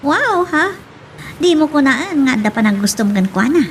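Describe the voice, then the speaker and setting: Woman acting animated, high-voiced teasing young Ilocano woman. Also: moderate pace, recorded from a distance, slight background noise. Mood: teasing, surprised. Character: Sandra, lively teasing young woman being courted. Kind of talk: Ilocano romance audio drama acting.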